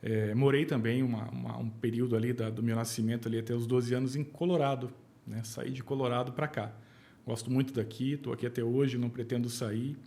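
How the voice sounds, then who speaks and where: Brazilian accountant in his 40s, calm plain way of talking, bit hesitant, midrange voice. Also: medium pitch, fairly quick. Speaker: accountant, podcast guest. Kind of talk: casual interview chat.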